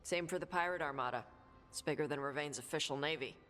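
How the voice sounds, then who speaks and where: Gruff young female treasure hunter from a video game, low voice, few words, music underneath. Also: fast talker, fairly bright tone. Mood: earnest, plain. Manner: laconic, gruff, informal. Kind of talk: video game dialogue acting.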